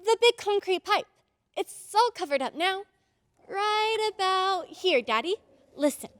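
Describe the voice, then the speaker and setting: Woman as excitable young girl, very high, lively swooping pitch, insistent, old-time radio drama. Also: unhurried, no room sound, heavily acted. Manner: pleading, urgent, dramatic.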